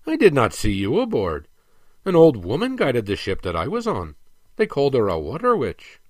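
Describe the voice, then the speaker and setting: A man's voice: medium pitch, huge pitch swings, normal speed, no echo, clean studio sound. A man voicing a despairing, proud dwarf prince in a storybook, blunt and questioning in manner. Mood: confused, suspicious.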